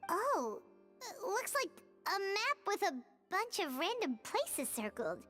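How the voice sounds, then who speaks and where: A woman's voice: extremely high pitch, big pitch swings, slow, pushed and bright, faint music behind, exaggerated voice. A woman voicing a small, chirpy, curious childlike fairy companion in a video game, given to exclamations. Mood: curious, cheerful.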